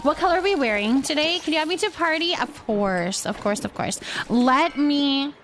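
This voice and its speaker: A woman chatting casually while streaming a game, thinking out loud as she talks to her viewers. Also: high voice, expressive, normal speed. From California, United States. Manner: chatty, thinking aloud to herself. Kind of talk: casual gameplay stream chat.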